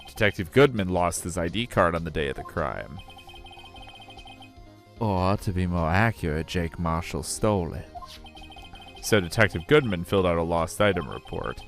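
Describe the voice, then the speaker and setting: A man voicing an earnest young male defense lawyer in a fan dub of a game, speaking in a firm, deliberate courtroom manner. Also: deep voice, lively intonation, soft background music.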